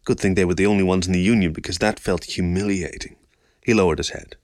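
A man's voice: sits low, fairly even intonation, fast, a little mellow, no echo, studio quality. A man reading a scripted story as an audiobook narrator.

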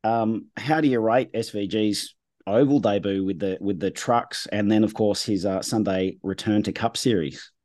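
A man with a hoarse, husky voice hosting a motorsport podcast in a relaxed, conversational way. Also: fairly low pitch, no echo, clean studio sound. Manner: conversational, measured. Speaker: podcast host. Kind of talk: casual motorsport chat.

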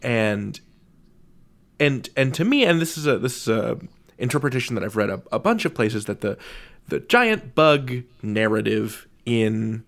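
A man's voice: on the low side, vocal fry. A man hosting an interview in a casual, analytical style, wry and emphatic, stretching out phrases and dropping in asides. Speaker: podcast host, interviewer.

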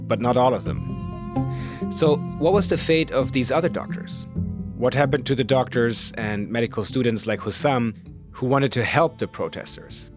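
A man hosting and narrating a documentary podcast in a measured, grave, explanatory way. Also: medium pitch.

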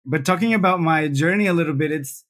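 A man talks as a guest in an interview. His voice sits a little high, and he speaks thoughtfully, often doubling words as he works out a sentence. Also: fast talker, fairly bright tone. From Venezuela. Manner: thoughtful, frequent word repeats. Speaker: UX researcher guest. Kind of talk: casual interview talk.

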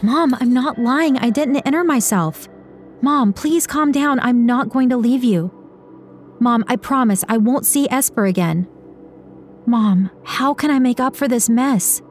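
A woman doing a high, strained young woman. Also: unhurried, faint music behind, lightly acted. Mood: pleading. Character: anxious young woman, torn and pleading.

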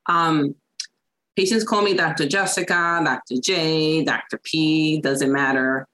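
A woman with a Puerto Rican accent, a chiropractor chatting as a podcast guest, laughing often and filling pauses with ums. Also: deep voice, steady tone, fairly bright tone. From Puerto Rico. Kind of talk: casual interview chat.

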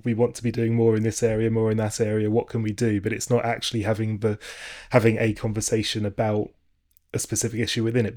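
A man hosting a podcast, asking long, hesitant questions full of restarts. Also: on the low side. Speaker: podcast host. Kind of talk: interview questions.

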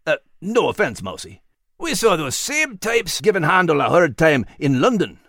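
A man's voice: fairly high pitch, sing-song, moderate pace, gravelly, fairly bright tone, no echo, studio quality, heavily acted. A man voicing a blunt, good-natured male animal in a folksy manner for a storybook. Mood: grumbling.

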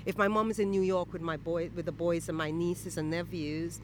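A woman poet from Guyana, answering interview questions in a thoughtful, halting way full of restarts, outdoors near a church. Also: lowish voice, fairly even intonation, a little hiss or hum.

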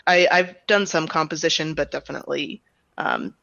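A woman speaking as an interviewed director, explaining her creative work in a thoughtful, reflective way with softened statements. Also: sits low, normal speed, gravelly, no echo, highs cut off. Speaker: audio drama director and writer being interviewed.

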